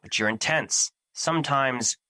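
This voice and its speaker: Man acting as troubled personified emotion, forceful bright voice, dry sound, earnest formal delivery, defensive, audio drama. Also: medium pitch, unhurried, dry recording. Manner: earnest, formal, theatrical phrasing. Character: Fear, troubled, defensive, duty-bound male emotion personified.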